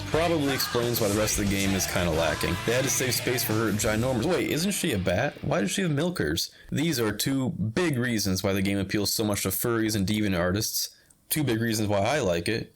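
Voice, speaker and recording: Over loud background music, a man reads a scripted comedic review. His voice sits on the low side, and he talks in a sardonic way with crude jokes as a game reviewer.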